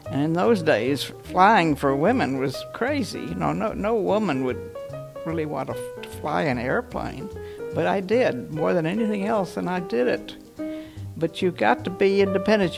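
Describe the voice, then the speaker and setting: A woman's voice: fairly low pitch, vocal fry. An older woman, interviewed, recalling her life in a firm, matter-of-fact way. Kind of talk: interview recollection.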